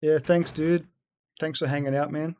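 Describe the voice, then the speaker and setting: A man's voice: a little high, steady tone, normal speed, darkish tone, clear, resonant tone. A man streaming a video game, chatting casually with viewers and reading their messages aloud. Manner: chatty, reads and answers chat aloud. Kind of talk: casual let's-play commentary.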